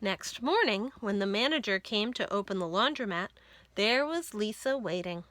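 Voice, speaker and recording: A woman reading a children's story aloud as expressive narration, with deliberate, dramatic phrasing. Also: mid-range voice.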